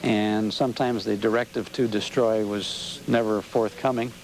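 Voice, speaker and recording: An American man, middle-aged or older, explaining things as an unhurried storyteller while being interviewed on television. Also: fairly low pitch, steady tone. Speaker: interview guest, old-time radio show host and collector. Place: TV studio interview. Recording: noisy 1990s local TV broadcast.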